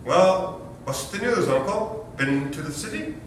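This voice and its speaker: A man's voice: sits high, expressive, a little slow. An actor playing a brash, scheming young father in a readers theater comedy, glib and emphatic. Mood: jaunty. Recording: echoey hall, distant mic, faint noise.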